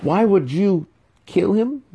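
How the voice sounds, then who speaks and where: A man portrays a trapped young dark elf student in a fantasy audiobook. The pitch is pushed high as he protests with haughty indignation. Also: expressive, takes it slowly, gravelly, husky, full character voice. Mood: frightened protest. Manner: stammering, growling, haughty.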